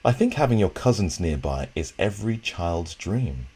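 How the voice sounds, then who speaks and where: Casting: man voicing gentle, reflective storybook woman, thirties, low register, expressive phrasing. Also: normal speed, slightly rough, heavily acted. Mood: light, warm. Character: Josephine, gentle adult woman in her thirties, settled expatriate.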